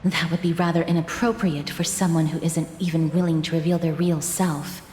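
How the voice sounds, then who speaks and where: Actress as serious guarded young lady protector, formal n stern, bit low, echoey game dialogue with music. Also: fairly even intonation, a little fast, darkish tone, natural voice. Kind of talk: video game voice acting. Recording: reverberant hall echo.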